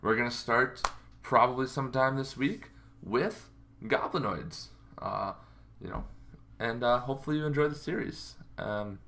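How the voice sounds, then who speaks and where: Male gaming-series host, medium pitch, casual and enthusiastic explainer, informal with emphatic phrasing. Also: lively intonation, moderate pace, vocal fry, bright, forceful tone, slight background noise.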